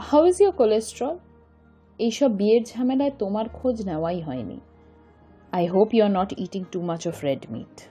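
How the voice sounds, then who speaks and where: A weary, caring mother played by a woman confides in an old friend in a bilingual audio drama. Her Indian Bengali-accented voice is mellow and a little husky, rising and falling expressively as she slips between English and Bengali. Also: mid-range voice, animated, very fast, faint music behind. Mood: concerned, scolding.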